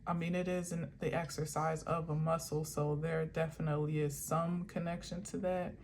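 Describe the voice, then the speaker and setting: A woman's voice: deep voice, darkish tone, a little hiss or hum. A woman reading affirmation cards in a casual vlog, musing aloud and often restarting her sentences. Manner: meandering, musing, self-correcting. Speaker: spiritual tarot reader. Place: outdoor garden.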